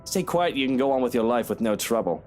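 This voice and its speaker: A woman's voice: very deep voice, expressive, fast talker, a little mellow, faint music behind, pitched below the performer's own voice. A woman voicing a smug, lecherous, condescending married man in a visual novel. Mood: menacing, smug. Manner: leering, taunting, condescending.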